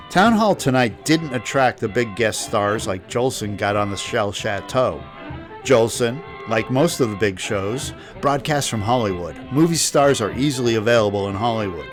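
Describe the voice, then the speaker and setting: A man giving a scripted, opinionated historical narration as a podcast host. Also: medium pitch. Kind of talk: scripted narration on old-time radio history. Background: music.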